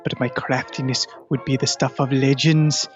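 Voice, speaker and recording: A smug little talking cat acted by a man for an audio comedy. He is crafty and deadpan, with very flat pitch, recorded a little muffled over faint music. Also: moderate pace, natural voice. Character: crafty, self-satisfied talking cat, small and cute.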